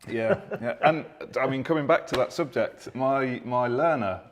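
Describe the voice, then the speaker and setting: A man's voice: medium pitch, moderate pace, a little hiss or hum. A man co-hosting a live stream, chatting casually and reading out viewer comments. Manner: chatty, self-deprecating, reads out viewer comments.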